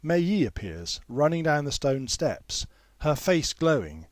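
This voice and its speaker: A man's voice: on the high side, big pitch swings, measured pace, no echo, clean studio sound. A man reading his own fiction aloud as scripted audiobook narration. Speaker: author reading own story.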